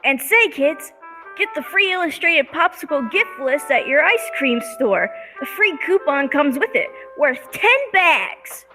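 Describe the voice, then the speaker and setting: A woman's voice: high-pitched, sing-song, measured pace, bright, forceful tone, faint music behind, full character voice. A woman playing an eager, wholesome young boy selling treats in an old radio advertisement, earnest and gee-whiz. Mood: excited, eager. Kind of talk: 1930s radio advertisement acting.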